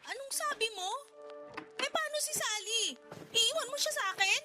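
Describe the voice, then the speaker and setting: Babaeng gumaganap bilang desperadang inang iniiwan, napakataas ang boses. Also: female voice, very high-pitched, expressive, moderate pace, pushed and bright, soft background music, heavily acted. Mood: shocked, confronting. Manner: pleading, emotional outbursts. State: crying. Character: desperate, furious woman abandoned with her young child by her partner. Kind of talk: Tagalog radio drama acting.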